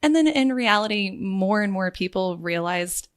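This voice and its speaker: A woman's voice: mid-range voice, animated, normal speed, clean tone. Upbeat chatty woman, travel expert. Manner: upbeat, chatty, tells client stories. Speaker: luxury travel agency owner, guest. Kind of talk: podcast interview.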